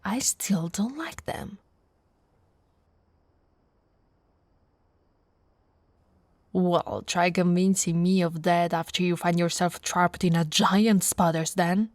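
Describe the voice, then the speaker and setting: A woman from Italy playing an affectionate, teasing female orc warrior in an intimate, soft-spoken ASMR roleplay. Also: on the low side, measured pace, no echo, lightly acted. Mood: panicked, squeamish, then grateful, tender. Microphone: close, dry microphone.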